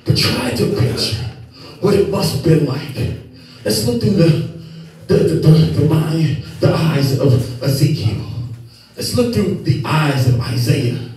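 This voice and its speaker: A man's voice: on the high side, big pitch swings, measured pace, darkish tone, faint music behind. A young man preaching a sermon on a church stage with a handheld mic, building passion through repeated refrains as he moves and gestures. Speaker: pastor. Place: church stage with lit screens, indoors. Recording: echoey room from a distant camera.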